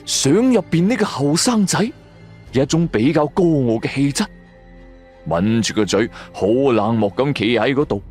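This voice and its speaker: Animated male Cantonese storyteller over music. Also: medium pitch, moderate pace. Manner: colloquial, theatrical delivery. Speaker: audio drama narrator. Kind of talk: dramatic first-person storytelling, Cantonese radio drama.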